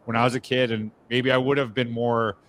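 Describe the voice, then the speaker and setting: A man's voice: medium pitch, flat delivery, fairly quick, a bit bright, slight background noise, poor audio. A man hosting a podcast interview, talking in a reflective, conversational way with frequent fillers and restarts. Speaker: podcast host. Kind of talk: casual interview chat.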